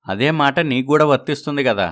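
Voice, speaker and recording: A man playing a dutiful adult son in an animated moral story, speaking earnestly and pleadingly. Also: medium pitch, quick pace, close to an everyday voice. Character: dutiful adult son torn between wife and parents.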